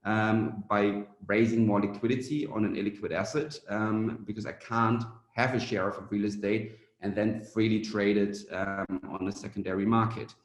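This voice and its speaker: A young man from Germany talks through a business presentation in a fairly low voice, often stopping on "um" as he gathers his thoughts. His voice carries the echo of a reflective room. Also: steady tone, normal speed, few pauses. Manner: hesitant, many "um" fillers. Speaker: startup founder and CEO. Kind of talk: conference presentation.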